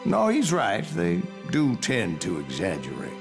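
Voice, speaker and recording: An actor voicing a grizzled old gunslinger in a Western game, telling tall tales in a gravelly, folksy American drawl. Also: male voice, medium pitch, wildly swooping pitch, unhurried, heavily acted. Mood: wry. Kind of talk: scripted in-character narration. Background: music.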